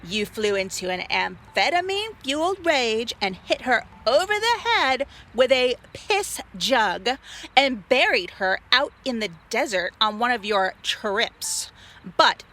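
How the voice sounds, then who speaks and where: An actress playing a brash, self-important influencer and amateur sleuth in a comedic audio drama. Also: female voice, a little high, very animated, slow pace, sharp tone, full character voice. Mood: smug.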